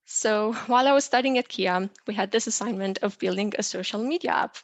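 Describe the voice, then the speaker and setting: A young woman from Romania walks listeners through a coding tutorial, explaining steps clearly and pausing on "uh" and "um". Her voice sits at an ordinary middle pitch. The recording is close and dry, with no room sound. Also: no room sound. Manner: clear explanatory delivery with frequent "uh" and "um". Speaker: software engineer teaching a lesson. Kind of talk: presenting a tutorial.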